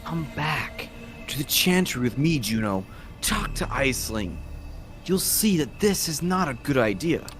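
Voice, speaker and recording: A man playing a loyal, earnest and determined vampire clansman in an amateur game drama, speaking in a measured way. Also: mid-range voice, sing-song, fairly bright tone, soft background music. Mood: earnest, pleading. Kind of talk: amateur voice acting of a visual novel.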